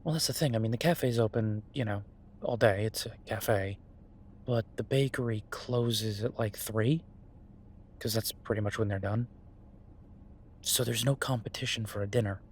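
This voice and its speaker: A man playing an earnest, easygoing chef in a roleplay audio drama, talking haltingly and reassuringly to a partner. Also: on the low side, a little hiss or hum, lightly acted. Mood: casual, enthusiastic. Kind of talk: roleplay audio drama, conversational monologue to listener. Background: ambient sound effects.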